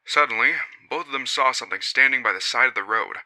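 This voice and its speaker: A man voicing a male witness who recounts his friends' strange encounter in a measured, puzzled and skeptical way. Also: mid-range voice, sharp tone, rough recording. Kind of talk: first-person reading of a witness account.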